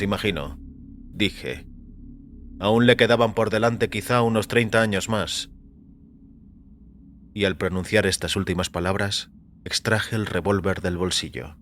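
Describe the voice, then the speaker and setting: A man reading scripted first-person fiction as narrator, in a measured, composed manner. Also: fairly low pitch, fairly bright tone, slight background noise. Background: music.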